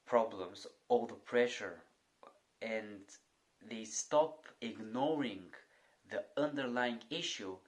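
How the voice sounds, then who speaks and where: A man's voice: mid-range voice, a bit bright. A young man giving an earnest, personal motivational monologue as a vlogger and coach. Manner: slow, deliberate and earnest.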